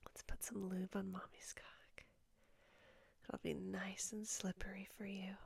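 A woman playing a doting, dominant lover in an intimate audio roleplay, with a breathy voice and a coaxing manner. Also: medium pitch, darkish tone, no room sound, full character voice. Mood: tender, coaxing. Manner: slow, coaxing, often whispered.

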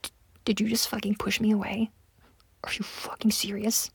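A woman's voice: mid-range voice, level tone, normal speed, natural voice. A young woman voicing a pushy, entitled woman on a date in a one-sided audio roleplay, talking in a close, whispery way with lots of swearing. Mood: furious. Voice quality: husky, breathy, gravelly. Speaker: voice actor.

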